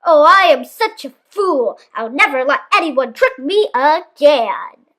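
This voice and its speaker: A woman's voice: high voice, big pitch swings, takes it slowly, a bit bright, full character voice. A woman voicing a proud, gullible father crow in a children's storybook, dramatic and self-pitying. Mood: rueful. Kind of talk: children's storybook read-aloud.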